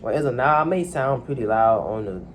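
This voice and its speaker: A young man speaks into a phone in a quiet room at home, his voice neither high nor low. He talks haltingly, trailing off and filling gaps with ums. A faint hiss sits under the recording. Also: lively intonation. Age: young adult. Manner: soft-spoken, hesitant, many fillers. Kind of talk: casual personal monologue.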